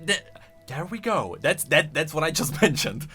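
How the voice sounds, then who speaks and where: A man's voice: on the high side, sing-song, moderate pace, sharp tone, faint music behind. A man giving casual, semi-improvised video commentary, thinking aloud with emphatic delivery. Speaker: YouTube lore commentator.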